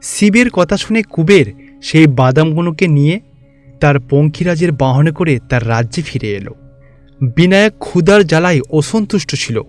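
A man's voice: on the high side, lively intonation, moderate pace, soft background music. A man narrating a scripted cartoon fairy tale as the storyteller.

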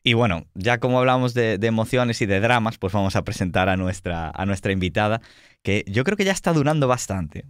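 A man's voice: on the low side, expressive, a little fast, clean tone, dry recording. Dry-recorded Spanish man hosting roleplay. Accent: Spanish from Spain. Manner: teasing, mock-boastful banter. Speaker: host and game master of a tabletop roleplay show. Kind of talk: casual joking introduction of players on a livestream.

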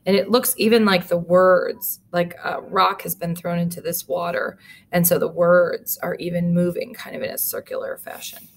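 A middle-aged woman teacher reading a storybook aloud, with deliberate, explanatory asides. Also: on the low side, little pitch movement, vocal fry, slight background noise. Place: small indoor room with photo-covered wall.

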